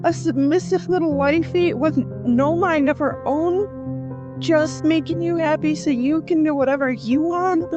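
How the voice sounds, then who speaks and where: Woman acting headstrong young heroine, high voice, emotional, accusing, audio drama, prominent music behind. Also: measured pace. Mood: wounded, accusing. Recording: slightly dull, music underneath.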